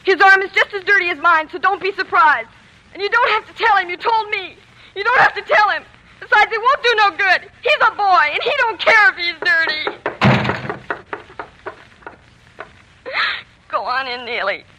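A woman's voice: very high-pitched, lively intonation, a little fast, sharp tone, full character voice. An actress playing an earnest young girl, a loving daughter and protective big sister, in a 1940s radio drama with plain, working-class childlike talk. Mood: defiant. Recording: faintly noisy 1940s radio broadcast.

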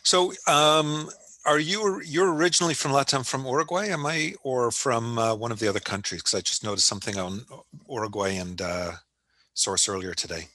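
A man's voice: mid-range voice, moderate pace, pushed and bright, no echo. A man hosting a podcast interview, asking long, rambling questions in a casual, conversational way. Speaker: podcast host.

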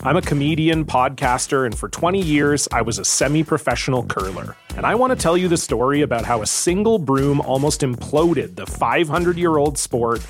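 A man's voice: a little high, animated, brisk, few pauses. A man who hosts a podcast, narrating a scripted, dramatic storytelling trailer. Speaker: podcast host, comedian. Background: music.

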